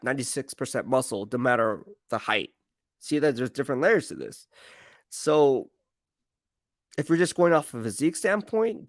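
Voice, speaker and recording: A man's voice: medium pitch, no echo, studio quality. A man chats casually on a sports live stream, rambling with slangy emphasis and often repeating himself as he answers viewers. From San Francisco Bay Area, United States. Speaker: sports streamer and football commentator.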